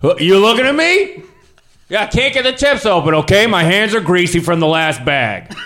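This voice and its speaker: Man playing excitable, high-voiced slacker test subject. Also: normal speed, exaggerated voice. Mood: irritated, exasperated. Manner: excitable, defensive. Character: touchy, jobless male slacker test subject. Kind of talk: improvised radio theater.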